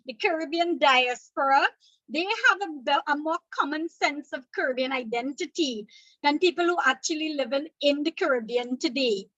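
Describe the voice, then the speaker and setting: A woman's voice: high-pitched, normal speed, sharp tone, no echo, highs cut off. A woman from Trinidad and Tobago with a Trinidadian accent, teaching an exam revision lecture, explaining points step by step and checking in with "okay?".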